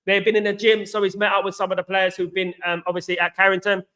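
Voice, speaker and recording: A man's voice: high voice, monotone, quick pace, sharp tone. An English man hosting a casual livestream, chatting loosely, reading out viewer comments and greeting viewers by name. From England. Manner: chatty, repeats "absolutely" and "big up". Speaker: livestream host.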